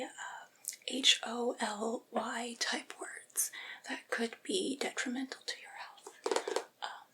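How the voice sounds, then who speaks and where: A woman plays a vampire's devoted female familiar in an ASMR roleplay. She whispers slowly, coaxing and soothing her master with gentle hesitation. The voice sits high and stays hushed. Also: close to an everyday voice.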